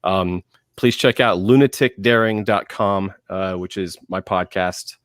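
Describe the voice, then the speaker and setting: A man chatting casually as a podcaster on a panel, with a halting, self-correcting delivery. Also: low-pitched, a bit bright, no echo, studio quality.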